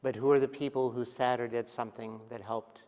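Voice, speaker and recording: A man preaching a sermon as pastor, speaking gently and reflectively with pauses and soft trailing endings. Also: mid-range voice, little pitch movement, smooth, muffled recording. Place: large church sanctuary. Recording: long church reverb.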